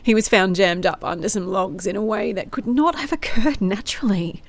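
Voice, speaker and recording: Casting: jaded female private eye, down on her luck, medium pitch, dry confessional delivery for audio drama, played by an actress. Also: moderate pace, close to an everyday voice. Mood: bleak, dejected. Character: weary, sardonic, broke female private investigator. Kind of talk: audio drama, recorded diary monologue.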